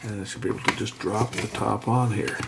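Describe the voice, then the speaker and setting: A middle-aged man giving a casual hands-on narration as an electronics repair presenter, speaking haltingly as he thinks aloud at an indoor workbench. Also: lowish voice, fairly even intonation, gravelly. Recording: faint background noise, workbench clicks. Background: faint noise, clicks of handled parts.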